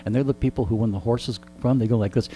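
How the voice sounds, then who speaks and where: A man's voice: medium pitch, fairly even intonation, fast talker. A middle-aged or older man telling casual anecdotes in a wry, joking, digressive way. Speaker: interview guest and storyteller. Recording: clear background mains hum.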